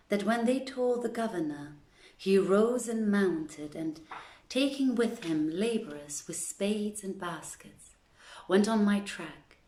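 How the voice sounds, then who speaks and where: Slow-reading woman in a roomy space. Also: mid-range voice, darkish tone. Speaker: reader presenting a literary reading series. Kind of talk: slow storybook reading aloud.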